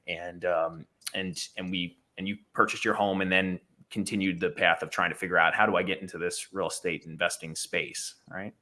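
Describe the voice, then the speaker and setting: Podcast host guy, bright edge on a lowish voice, casual chat. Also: little pitch movement, normal speed. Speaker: podcast host, real estate broker.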